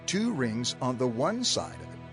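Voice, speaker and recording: An actor playing a solemn, fervent male prophet in a dramatized scripture reading, declaiming deliberately to his people. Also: mid-range voice, big pitch swings, close to an everyday voice. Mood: solemn, instructing. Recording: music bed behind the voice.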